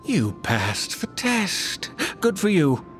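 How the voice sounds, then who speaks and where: A man acts out a menacing Christmas elf working as an attendant in an audio horror story. He is gleefully officious and mock-polite, drawing out his taunts slowly with swooping pitch. Music plays clearly behind him. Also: huge pitch swings, a bit bright, exaggerated voice. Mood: mock cheer.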